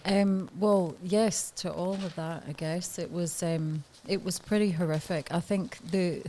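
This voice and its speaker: A woman's voice: fairly low pitch, fairly even intonation, measured pace, dark tone, a little hiss or hum. A woman in her thirties, a podcast guest talking candidly and hesitantly, with frequent ums and laughs. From Scotland. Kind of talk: podcast interview.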